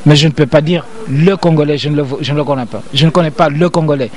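A man's voice: on the high side, quick pace, a little mellow, a little hiss or hum. An older man, a professor, talking as an interview guest in an emphatic, lecturing way with frequent repetitions.

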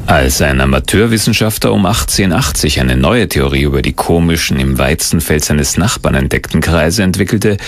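Very deep, creaky male documentary narrator. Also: expressive, brisk, hardly any pauses, slight background noise.

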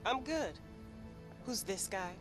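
A woman's voice: mid-range voice, animated, slow, over music. A woman playing a hostile, foul-mouthed arms dealer in a video game cutscene, suspicious, sarcastic and confrontational. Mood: wary.

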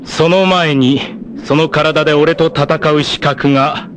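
A man's voice: steady tone, slow, fairly bright tone, a little hiss or hum. A man playing a vain, narcissistic, treacherous martial-arts villain in a 1980s anime, with a haughty, theatrical, mocking delivery. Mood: mocking contempt. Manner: theatrical, haughty, mocking, erupting into shrill outrage. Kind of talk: dramatic 1980s anime voice acting.

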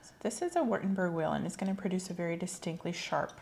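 A young woman speaking softly in a gentle, measured, instructional way as a medical examiner in an ASMR roleplay. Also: fairly low pitch, animated, creaky, a little hiss or hum. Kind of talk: soft-spoken ASMR clinical roleplay. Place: small room set up as an exam office.

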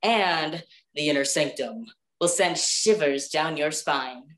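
Young vintage radio announcer, played by a woman, chipper and showy, on the low side, roomy recording. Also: takes it slowly. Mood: showy, bright. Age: young adult. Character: chipper 1940s radio co-host announcing the show. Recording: echoey room sound.

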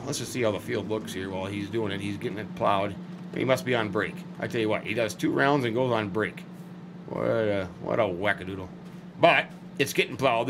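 A middle-aged man with a slightly hoarse, scratchy voice, rambling folksy commentary as he plays a farming game. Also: medium pitch. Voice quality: scratchy, cracky throat. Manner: rambling, folksy, chatty asides. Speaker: let's play host.

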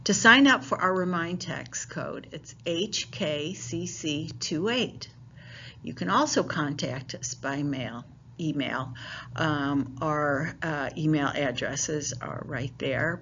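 A woman's voice: lowish voice, fairly bright tone. A woman teacher welcoming parents to a class and explaining how it runs, in a friendly, informal way with some fillers. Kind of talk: virtual parent night presentation. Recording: dull lo-fi home recording, faint hiss.

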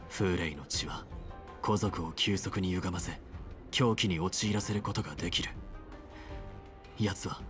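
A man's voice: deep voice, lightly acted. A man playing a serious, dutiful scout in an anime game, giving restrained, grave reports with music behind him. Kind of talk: Japanese anime game voice acting.

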